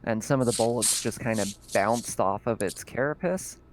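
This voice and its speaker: A man's voice: mid-range voice, slight background noise. A man running a tabletop horror game as game master, narrating casually with frequent laughs and filler sounds. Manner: laughs often, fills pauses with "uh" and "um".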